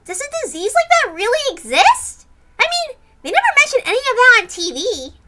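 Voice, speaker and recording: A woman's voice: extremely high pitch, very animated, fairly quick, pushed and bright, voice pushed up, heavily acted. A woman voicing an anxious, questioning young girl in a horror visual novel. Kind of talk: visual novel character reading.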